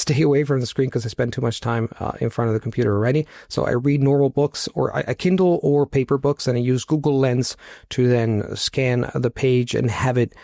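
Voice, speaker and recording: A man with an Italian accent, chatting casually about reading in foreign languages, relaxed, with fillers. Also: mid-range voice, no room sound, studio quality. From Italy. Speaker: language learning channel host. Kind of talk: casual reaction commentary.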